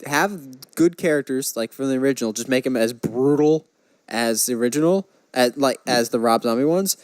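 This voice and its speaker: A man's voice: mid-range voice, moderate pace, no echo. Enthusiastic male podcaster, close dry sound. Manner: opinionated, enthusiastic fan talk. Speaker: podcast co-host. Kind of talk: casual movie chat.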